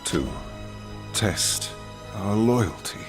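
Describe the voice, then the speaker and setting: A stern horseman of the apocalypse, low and solemn. He declaims formally, as a dutiful warrior in a game cutscene would, with music clearly behind him. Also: expressive, very slow. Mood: resolute.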